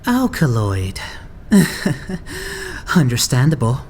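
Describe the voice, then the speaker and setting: A woman voicing a timid, gloomy young idol with low self-esteem in an anime fandub, meek and self-deprecating. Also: wildly swooping pitch, very slow, a little mellow, a little hiss or hum, heavily acted. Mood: downcast. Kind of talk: anime game fandub acting.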